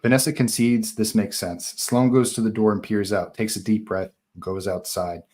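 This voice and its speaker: Low-voiced man narrating a horror script. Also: steady tone, moderate pace, darkish tone. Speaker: narrator reading stage directions. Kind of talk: scripted narration at live table read.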